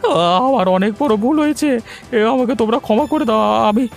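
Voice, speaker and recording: A man's voice: extremely high pitch, expressive, a little fast, faint music behind. A man playing a scheming, cowardly village brother-in-law in a cartoon moral story, whiny and agitated. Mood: contrite begging.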